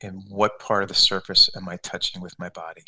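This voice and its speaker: Man, meditation coach, lowish pitch, very bright forward tone, conversational, step-by-step guidance. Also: level tone, a little fast, rough recording. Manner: conversational, repetitive, step-by-step guiding. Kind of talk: guided meditation, unscripted instruction.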